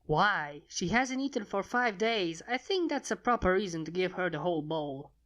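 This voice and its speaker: A woman's voice: fairly low pitch, sing-song, moderate pace, a bit bright. A woman voicing a caring, slightly anxious female unicorn pony in a soothing way for a story. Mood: questioning.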